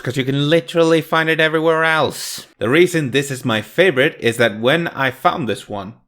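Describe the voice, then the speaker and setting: A man's voice: mid-range voice, fairly bright tone. A man hosting a video review, giving casual scripted commentary in a jokey, emphatic way.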